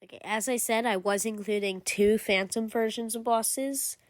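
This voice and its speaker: A woman's voice: on the high side, steady tone, moderate pace, over music. A woman giving casual voice-over commentary as a video creator, rambling with lots of "like" and frequent self-corrections. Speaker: video creator ranking game cutscenes.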